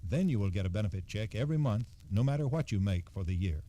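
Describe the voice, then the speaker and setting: Super mellow dark voiced guy, formal 60s radio spokesman, matter-of-fact script read. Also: fairly low pitch, lively intonation, fast, slight background noise. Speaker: Social Security spokesman guest. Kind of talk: scripted 1960s radio announcement.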